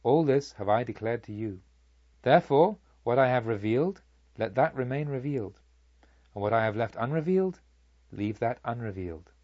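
A man voicing a serene Buddha, a kindly sage who teaches in a measured, solemn, rhetorical way in an audiobook. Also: medium pitch, lively intonation, no room sound, highs cut off, natural voice. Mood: solemn teaching. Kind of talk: audiobook character speech.

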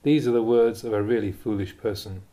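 A man reading Buddhist teachings aloud in a measured, deliberate way with emphatic pauses. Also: on the low side, steady tone, mellow. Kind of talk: read-aloud spiritual teaching.

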